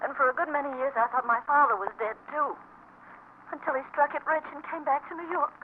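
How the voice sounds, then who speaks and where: Casting: actress as bereaved daughter, young, high-voiced, weary and defensive, tinny vintage radio drama. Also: female voice, fairly even intonation, fast talker, lightly acted. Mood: weary, distressed. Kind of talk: 1940s radio acting. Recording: tinny old radio broadcast, faint noise.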